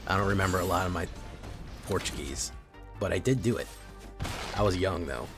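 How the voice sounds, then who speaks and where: Brief: young adult man, deep voice, easygoing streamer banter over faint background music. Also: normal speed. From Chile. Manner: relaxed, chatty, reacting to chat. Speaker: streamer playing a card game. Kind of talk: casual live-stream commentary.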